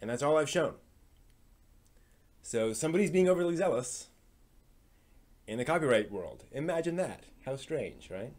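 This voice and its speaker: Man hosting a casual, halting live stream. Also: a little high, expressive, a little mellow.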